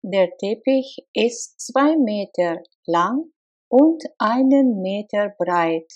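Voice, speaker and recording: Woman slowly drilling phrases, slightly muffled. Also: mid-range voice, animated, darkish tone. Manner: slow, deliberate, clearly spaced repetitions. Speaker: language teacher. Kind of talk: slow repeated phrase drills. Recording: slightly dull lo-fi sound.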